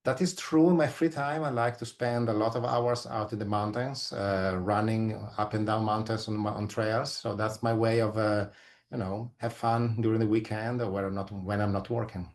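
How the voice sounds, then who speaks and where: A man's voice: fairly low pitch, steady tone, moderate pace. A middle-aged man from Italy with an Italian accent, explaining things informally as an interview guest. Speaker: interview guest, physicist and astronomer.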